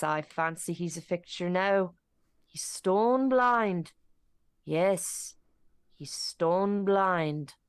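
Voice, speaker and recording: A woman's voice: on the low side, clean tone, no room sound. A woman playing a gossipy, deferential servant who tells grim news with dramatic relish in a radio play. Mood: grave. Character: gossipy, dramatic old-time English local servant witness.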